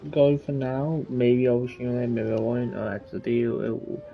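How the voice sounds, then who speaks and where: A man with a creaky voice giving offhand, halting, rambling commentary as a gamer. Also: medium pitch, steady tone, highs cut off. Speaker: gamer recording gameplay. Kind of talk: casual, rambling gameplay commentary.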